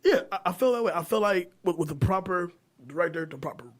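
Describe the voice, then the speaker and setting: Higher-pitched man, opinionated podcast talker. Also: animated, quick pace. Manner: opinionated, profane. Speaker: podcast co-host discussing movies. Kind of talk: casual chat among friends.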